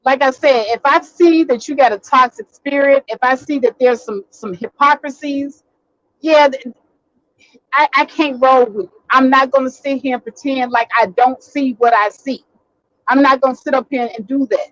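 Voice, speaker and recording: A woman hosting a casual live stream from her car, talking bluntly and swearing freely, getting a little hoarse. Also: high voice, moderate pace, a bit bright, dry recording, highs cut off. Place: in a vehicle, driving.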